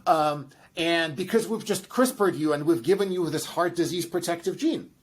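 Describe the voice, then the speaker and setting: A man's voice: fairly high pitch, normal speed. A man with a Russian accent, a genetics professor giving long, enthusiastic explanations with many restarts and fillers. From Russian by ancestry. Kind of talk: explanatory interview answers.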